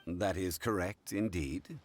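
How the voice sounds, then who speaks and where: A man voicing a calm, erudite, courteous gentleman in a video game, speaking in a measured, formal, polite way. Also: sits low, slight background noise. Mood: composed, amiable.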